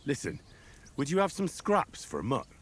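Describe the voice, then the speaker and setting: A dry-witted young squire, mid-pitched and expressive, puts down his friends with understated jokes. He keeps his own counsel and sounds grounded. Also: normal speed, slightly rough. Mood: wry. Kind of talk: game cutscene acting.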